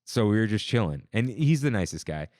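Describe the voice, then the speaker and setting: A young man with a low voice whose pitch swings widely as he acts out the people in his stories, speaking casually. He grew up with Armenian as his first language. The sound is dry and close. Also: a little fast, dry recording. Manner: animated storyteller, quotes people in voices. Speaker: podcast co-host, wedding officiant. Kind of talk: casual chat.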